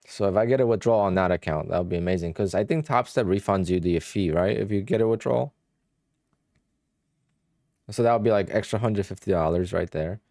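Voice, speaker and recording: A man's voice: low-pitched, a little fast, vocal fry, a little mellow, no echo, studio quality. A young man with a Polish-influenced accent, chatting offhand on a live stream while he trades and answers questions from viewers. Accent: Polish-influenced English. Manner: offhand, thinking aloud, answers chat questions. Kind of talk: casual livestream chat while trading.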